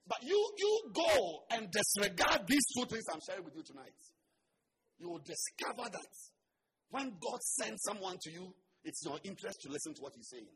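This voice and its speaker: A man with a Ghanaian accent preaching a sermon as a pastor. He swings from quiet, joking asides to loud shouts. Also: sits high, breathy edge, low-quality recording.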